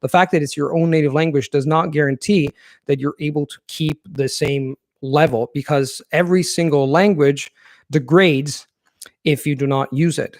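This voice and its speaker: A man hosting a live viewer Q&A. His voice sits a little high for a man, and his explanations are earnest and long. The sound is dry and close, with no room echo. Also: steady tone, normal speed. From Italy. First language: Italian. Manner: thoughtful and earnest, explaining at length. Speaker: language-learning channel host. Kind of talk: live Q&A, reading viewer questions and answering.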